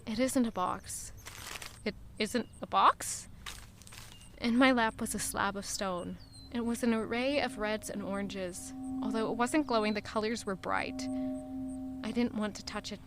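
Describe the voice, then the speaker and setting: A woman's voice: mid-range voice, unhurried, fairly bright tone, a little hiss or hum. A woman acting a spirited, guarded young noblewoman and lady-in-waiting, in audio drama dialogue and narration. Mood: wary, pondering.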